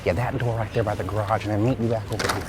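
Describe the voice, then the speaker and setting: A man's voice: lowish voice, steady tone, very fast, heavy background noise. A man hosting a kids' gym video, chatting casually and playfully as he gives a helper instructions. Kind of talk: casual chat while setting up a prank.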